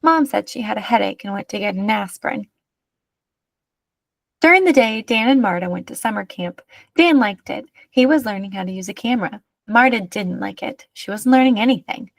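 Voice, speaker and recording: A woman narrates a children's picture book. Her delivery is expressive storytelling at a medium pitch. The recording is dry, with very little echo. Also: normal speed, creaky. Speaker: read-aloud narrator.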